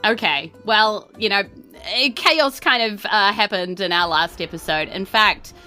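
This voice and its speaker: A woman hosts a tabletop game show in a bright, forward voice. She is informal, theatrical and giggly, with music playing underneath. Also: mid-range voice, animated, a little slow. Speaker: host and game master of a podcast.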